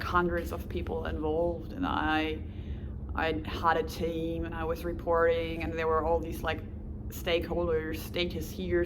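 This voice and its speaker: Slow-talking German woman, lowish voice. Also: background noise. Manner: rambling with self-corrections and light laughs. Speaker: volunteer applicant. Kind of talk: casual self-presentation.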